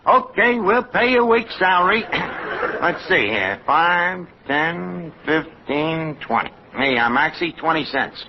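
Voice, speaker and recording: A man's voice: a little high, sing-song, a little slow. A man with a New York accent playing a cowardly, wisecracking tavern manager who mangles his grammar in a 1940s radio comedy. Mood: nervous, placating. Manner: streetwise, mangled grammar, mock-formal. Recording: muffled, very noisy old radio recording.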